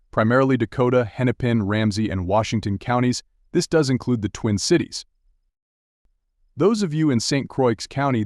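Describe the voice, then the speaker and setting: A man playing a TV meteorologist who guides viewers through severe storms with steady, urgent, direct instructions in a live broadcast style. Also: mid-range voice, dry recording, studio quality, natural voice. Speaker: TV weather broadcaster.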